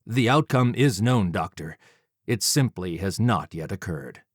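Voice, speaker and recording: A man's voice: fairly low pitch, expressive, unhurried, husky, no echo, clean studio sound. A man playing a composed, coolly superior demigod swordsman in an audiobook, speaking formally. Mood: cool, dry. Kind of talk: audiobook character acting.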